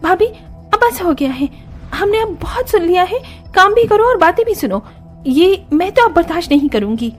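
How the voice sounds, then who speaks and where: A woman voicing an overworked young daughter-in-law who complains indignantly in an animated story. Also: very high-pitched, moderate pace, soft background music, voice pushed up. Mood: fed up.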